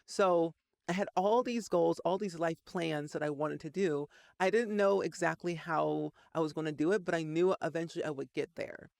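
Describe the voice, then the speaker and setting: A man in his late twenties tells a long personal story, his somewhat high voice catching on a gravelly edge. He rambles and leans on "like" constantly. The recording is dry and close. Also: moderate pace, a little mellow, no room sound. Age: 20s. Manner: rambling, frequent "like" fillers. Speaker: Sims content creator.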